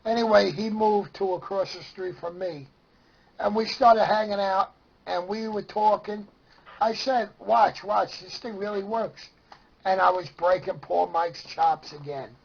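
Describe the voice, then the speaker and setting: Raspy, high-pitched Brooklyn man reminiscing. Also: measured pace. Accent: Brooklyn New York. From Brooklyn, New York. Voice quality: raspy, gravelly. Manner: excitable, acts out remembered dialogue. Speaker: interview guest. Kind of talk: animated casual storytelling. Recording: dull lo-fi sound.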